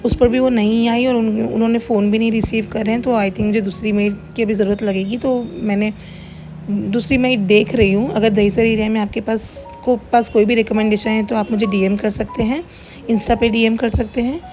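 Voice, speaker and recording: A middle-aged woman narrating a casual home-kitchen vlog in a plain, everyday way, her voice slightly hoarse as if under the weather. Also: a little high, steady tone, moderate pace, dark tone. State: mildly unwell, voice a little hoarse. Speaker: home cook running a cloud kitchen, vlogger. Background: background music.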